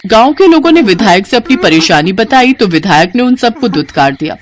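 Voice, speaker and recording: Expressive woman narrating a moral story. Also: medium pitch, a little fast.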